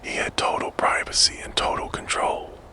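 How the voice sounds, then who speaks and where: Whispering man narrating softly. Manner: unvoiced whisper, slow and deliberate. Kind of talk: ASMR whispered true crime narration.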